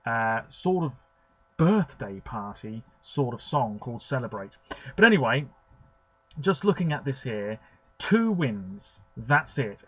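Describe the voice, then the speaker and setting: A man rambles on in a chatty, opinionated way, full of fillers and asides, his pitch sitting in an ordinary middle range. He talks to the camera from a room at home, as a video blogger sharing his views. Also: poor audio. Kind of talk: rambling commentary.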